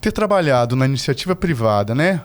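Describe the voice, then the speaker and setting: A middle-aged man from Salvador, Brazil, a podcast guest giving measured, explanatory answers about environmental management. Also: mid-range voice, big pitch swings. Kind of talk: formal interview answers.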